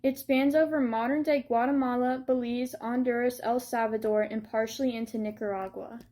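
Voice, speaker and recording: A woman's voice: a little high, steady tone, normal speed, dark tone. A young woman giving a scripted history presentation in the role of a soldier. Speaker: student presenter playing a Janissary.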